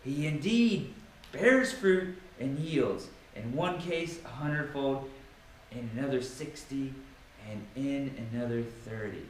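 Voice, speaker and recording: A man giving a dramatic, emphatic reading of scripture with theatrical pauses. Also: mid-range voice, animated. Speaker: Bible reader. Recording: very echoey room with faint noise.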